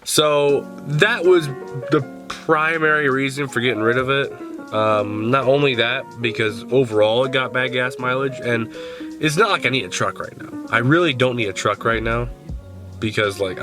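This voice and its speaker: A man's voice: medium pitch, normal speed, music in the background. A young man with a stuffed-up, congested voice, rambling casually and swearing now and then as he walks around his truck for a vlog. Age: young adult. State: stuffed up from a cold. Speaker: car vlogger. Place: outdoors in a driveway by a truck.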